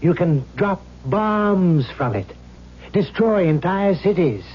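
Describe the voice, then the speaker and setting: An actor playing an irritable, self-satisfied Satan in a vintage radio drama, with theatrical, unhurried delivery. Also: male voice, high voice, animated. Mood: boastful relish. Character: Satan, weary, irritable, boastful Prince of Darkness. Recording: muffled old radio recording with hiss and hum.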